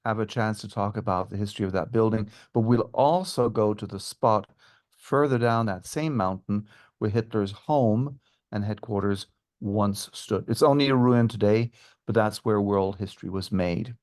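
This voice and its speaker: Low-voiced man explaining travel details. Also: moderate pace, a little mellow. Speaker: tour guide and historian guest. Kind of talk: informative interview answers about travel.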